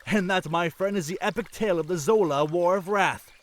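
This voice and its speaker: A man voicing a proud, cheerful young fish-folk prince in a fan audio drama, with a high, eager storytelling voice. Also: brisk, close to an everyday voice.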